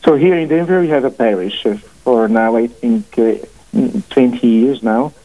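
A man in his forties with a Portuguese accent, a priest guest speaking haltingly, with many fillers and restarts, on a radio interview. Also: medium pitch. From family from Portugal. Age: 40s. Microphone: phone call into studio. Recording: phone line.

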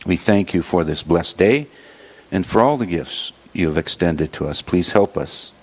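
A man hosting a religious radio show, leading prayer and introducing guests in a halting way with many fillers. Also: sits low, expressive.

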